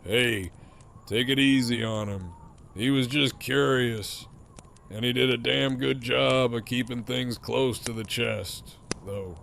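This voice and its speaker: Man acting a cocky, gravelly male prisoner. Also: mid-range voice, fairly even intonation, slow, a bit bright, slight background noise. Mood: casual defence. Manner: drawling, smug, sardonic. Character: cocky, teasing, profane male prisoner with a grin. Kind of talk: audio drama acting.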